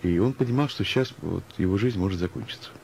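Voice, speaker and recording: A man's voice: lowish voice, fast talker, gravelly, mellow, slight background noise. A man speaking as a guest in a radio interview, musing and ironic, arguing through anecdotes and examples. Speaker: interview guest, music professional.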